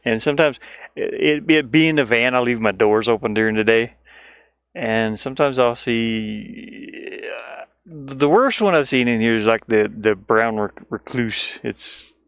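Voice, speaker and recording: A man's voice: medium pitch, a little slow, fairly bright tone, clear, resonant tone, dry recording, studio quality. Rambling, playful man on a casual stream. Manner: rambling, playful, easily sidetracked. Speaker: streamer and indie game developer. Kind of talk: casual livestream chat while working. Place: inside a van.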